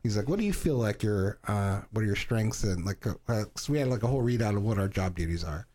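A man's voice: lowish voice, lively intonation, fast talker, dark tone. A man co-hosting a casual podcast chat, swearing often and joking about his own talents. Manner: swears a lot, boastful and joking. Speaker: podcast co-host.

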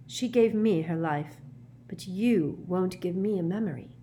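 A woman voicing a quiet, determined teenage boy wizard in a storybook, steady and insistent. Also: fairly low pitch, unhurried, a little hiss or hum, close to an everyday voice.

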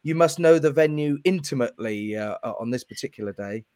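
A man's voice: medium pitch, lively intonation, brisk, a little mellow, no echo, studio quality. A man hosting a podcast interview, explaining things at length in a conversational way. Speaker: podcast host.

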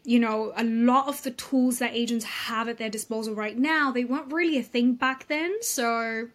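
A woman's voice: on the high side, fairly even intonation, normal speed. A woman from England, a real estate coach, talking emphatically and candidly as a podcast guest over a video call from home. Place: home room abroad.

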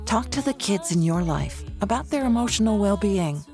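A woman narrating a public service announcement in a gentle, steady, scripted read. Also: mid-range voice, moderate pace. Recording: ad voice-over with faint music.

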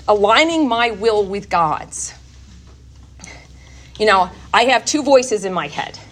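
A woman speaking candidly and emphatically at a recovery workshop, giving a personal testimony talk to an audience. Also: medium pitch.

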